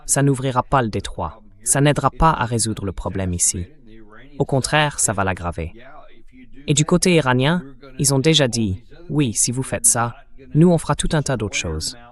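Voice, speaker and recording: A male interview host speaks in an AI-generated voice of average pitch. His style is conversational political talk, full of probing questions put with some incredulity. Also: lively intonation, moderate pace. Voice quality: AI-generated translation voice.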